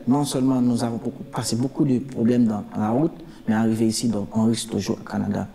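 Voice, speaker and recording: A man's voice: medium pitch, little pitch movement, fast, vocal fry, warm tone. A man with a Haitian accent giving spontaneous interview testimony as an asylum seeker, emphatically repeating his phrases.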